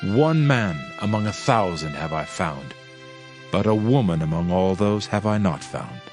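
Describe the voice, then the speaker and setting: A man narrating a dramatized scripture reading in a solemn, measured way with archaic phrasing. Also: sits low, animated, soft background music.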